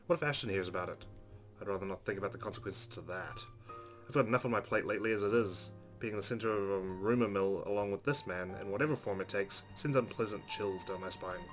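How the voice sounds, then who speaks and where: A man's voice: fairly low pitch, fast, fairly bright tone, faint music behind. A man hosting a let's play, reading visual novel narration aloud and adding casual commentary.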